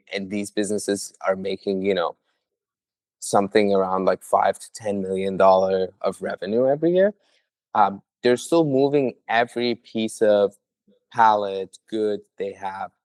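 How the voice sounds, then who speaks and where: A man's voice: low voice, darkish tone, no room sound. A young man who founded a startup, answering interview questions earnestly with frequent filler words.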